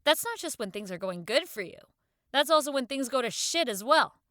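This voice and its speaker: A woman's voice: sits high, animated, fairly quick, sharp tone, dry recording, studio quality. A woman playing a tough, no-nonsense gang member in an audio drama, blunt, exasperated and scolding.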